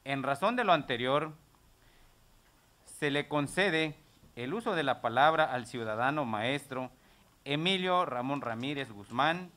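Bright-voiced masked committee chairman. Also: medium pitch. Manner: formal, reads procedure aloud. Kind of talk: formal procedural announcement. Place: legislative meeting room. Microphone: table gooseneck mic, face mask on.

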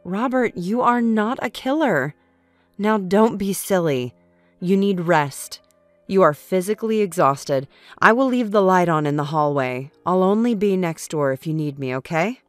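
A woman playing a calm, reassuring friend. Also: on the low side, unhurried, no echo, faint music behind. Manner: soothing, reassuring. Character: calm, caring female friend Azru. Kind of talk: audio comic book acting.

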